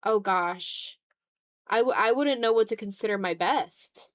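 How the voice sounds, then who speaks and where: A woman's voice: mid-range voice, a little slow, a bit bright. A woman chatting casually on an art livestream, thinking aloud in a hesitant, self-deprecating way. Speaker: streamer and content creator. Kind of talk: casual livestream chat while drawing.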